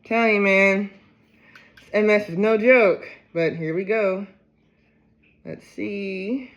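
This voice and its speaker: Chatty, tired-sounding man with a high voice. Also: slow pace, highs cut off. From Ohio, USA. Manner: chatty and rambling, often breaks into excited exclamations. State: low on energy, tired. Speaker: gardening vlogger. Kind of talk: casual chat to camera.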